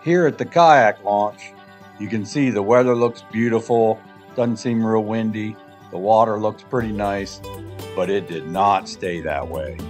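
A middle-aged man narrating his kayak fishing trip in a relaxed, plain voice-over. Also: fairly low pitch, unhurried, faint music behind. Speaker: fishing vlogger.

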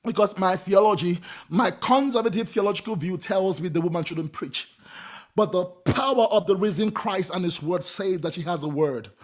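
A man in his thirties preaching over a video call from a small room at home, hoarse from losing his voice, shouting in a chanting cadence with a grunted "uh" between phrases and breaking into tongues. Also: high voice, moderate pace. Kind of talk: charismatic sermon with shouting and speaking in tongues. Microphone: webcam in front of him, video call.

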